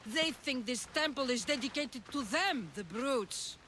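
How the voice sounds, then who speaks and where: A woman's voice: high-pitched, animated, a little slow, pushed and bright, a little hiss or hum, full character voice. A woman playing a knowing temple local with an amused, scornful manner in a video game. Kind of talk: video game cutscene acting.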